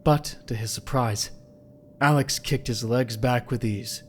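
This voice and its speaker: A man's voice: mid-range voice, unhurried, a little hiss or hum. A man reading scripted, dramatic narration for an audio drama.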